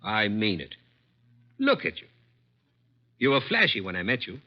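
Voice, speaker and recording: A man's voice: fairly low pitch, wildly swooping pitch, measured pace, exaggerated voice. An actor playing a cruel, contemptuous executive in his thirties in a vintage radio drama, sneering and domineering. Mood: sneering contempt. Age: 30s. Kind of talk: 1940s-50s radio drama acting. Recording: dull vintage radio recording.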